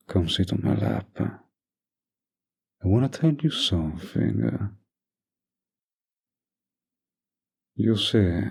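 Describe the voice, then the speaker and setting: A man playing a dominant young mafia boss boyfriend, menacing yet doting, with a gravelly, husky voice in a scripted romantic audio roleplay. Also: sits low, a little mellow, full character voice. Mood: calm, intimate. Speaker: voice actor.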